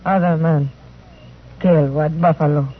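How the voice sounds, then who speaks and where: A performer acting a guarded, defiant young frontier wife in a radio western, speaking clipped, halting broken English in a husky voice. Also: mid-range voice, steady tone. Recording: tinny, phone-like vintage radio recording.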